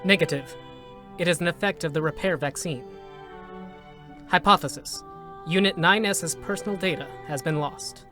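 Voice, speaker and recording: A man voicing a formal, impassive support robot, with clipped, procedural, deadpan delivery in a dramatic reading. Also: on the high side, moderate pace, long pauses, close to an everyday voice. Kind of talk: dramatic reading of game characters. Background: music.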